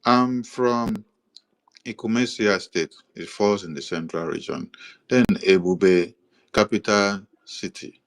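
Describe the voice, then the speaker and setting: A man with a Nigerian accent giving an explanatory monologue, listing items in a steady, repetitive rhythm with occasional hesitations. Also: on the low side, slow pace. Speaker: commentator presenting a map.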